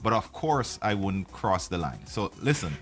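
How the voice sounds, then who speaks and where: A man's voice: deep voice, very animated, normal speed. A man co-hosting a casual live talk show, bantering and questioning in a teasing way. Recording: music playing behind the talk.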